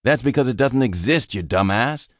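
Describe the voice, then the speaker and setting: A man voicing a gruff, foul-mouthed veteran army sergeant who drawls in slang. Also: sits low, big pitch swings, normal speed, dry recording, clean studio sound, voice pushed up. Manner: crude, swearing, drawling slang.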